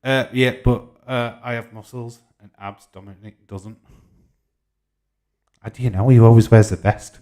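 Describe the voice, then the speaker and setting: A man's voice: medium pitch, fairly even intonation, measured pace, warm tone. A man in his forties with a Yorkshire accent hosting a casual livestream, reading out comments and bantering informally as he replies. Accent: Yorkshire English. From Yorkshire, England. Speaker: live stream host.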